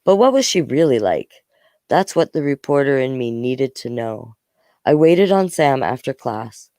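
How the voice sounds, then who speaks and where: An informal storyteller reads a novel for older children aloud. The voice is clean and smooth at a middling pitch, recorded dry with little room sound, and acts out the dialogue along the way. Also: sing-song, unhurried, dark tone, dry recording. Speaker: storyteller, host of a read-aloud series. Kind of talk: reading a children's novel aloud with casual asides.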